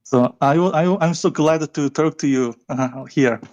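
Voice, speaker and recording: A Korean man calling in to a live stream, speaking English with a Korean accent, halting and stammering. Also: a little high, darkish tone, highs cut off. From South Korea. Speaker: caller.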